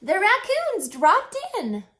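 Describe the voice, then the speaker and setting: A woman reading a picture book aloud like a teacher, deliberate and instructive, with dramatic pauses and questions for young listeners. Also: very high voice, huge pitch swings, a bit bright.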